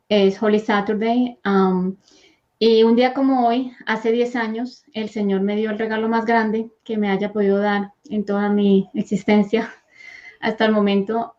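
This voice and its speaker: A woman from Colombia, earnestly sharing her personal faith testimony as a guest. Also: medium pitch. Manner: soft, earnest and heartfelt, with gentle fillers. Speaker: guest, host's wife. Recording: dull, lo-fi sound.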